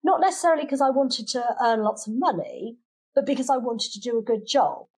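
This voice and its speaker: A woman's voice: on the high side, animated, fairly quick, darkish tone, slightly rough. A British woman, probably middle-aged in tone, chats as an interview guest, often restarting and repeating phrases for emphasis. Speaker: podcast guest, children's language class teacher. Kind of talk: conversational interview answers.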